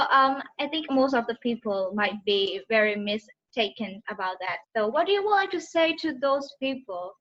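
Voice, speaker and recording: A woman's voice: on the high side, a bit bright. A woman hosting an online talk session, polite and hesitant, with lots of fillers. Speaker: host. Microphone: video call. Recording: dull lo-fi video call with faint noise.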